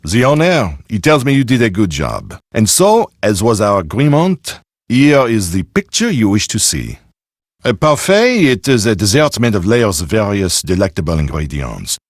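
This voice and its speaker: A man's voice: lowish voice, sing-song, moderate pace, dry recording, studio quality. A man acting as a suave, self-important Frenchman in a video game, with a French accent and pompous, precise delivery. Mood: suave, effusive.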